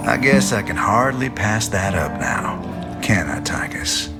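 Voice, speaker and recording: An actor playing a weary, determined rebel leader, laconic and wry. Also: male voice, on the low side, animated, a little slow, over loud music. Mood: wary, wry.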